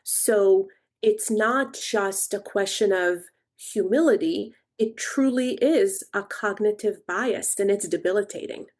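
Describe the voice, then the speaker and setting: A woman's voice: medium pitch, darkish tone. Thoughtful woman coach from Israel. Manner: thoughtful, measured explaining. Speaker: coach and interview guest. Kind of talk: podcast interview.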